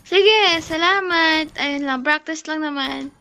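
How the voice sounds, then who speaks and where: A woman's voice: sits high, takes it slowly, noisy background. A Filipino woman chatting casually while gaming, playful and exclamatory, slipping into English.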